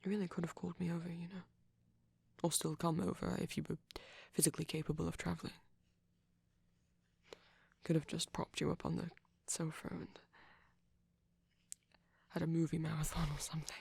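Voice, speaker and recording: A man playing a shy, gentle vampire boyfriend in intimate ASMR roleplay, speaking softly and hesitantly, often close to a whisper. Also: on the high side, little pitch movement, a little fast, pauses often, no room sound. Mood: shy, tender. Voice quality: soft, breathy, husky, often near a whisper. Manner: hesitant, bashful, rambling tenderly.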